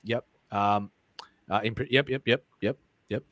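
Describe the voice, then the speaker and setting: A man's voice: fairly low pitch, unhurried, fairly bright tone, no room sound. A man hosting a casual live podcast, reading chat comments aloud and rambling through topics with many ums and restarts.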